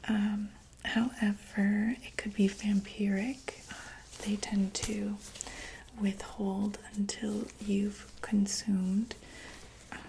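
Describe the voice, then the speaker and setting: A woman whispering softly in a breathy ASMR medical roleplay, gently and tentatively talking through each step as a doctor. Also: mid-range voice, level tone, slow pace, a little hiss or hum, rough recording. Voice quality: breathy, mostly unvoiced whisper.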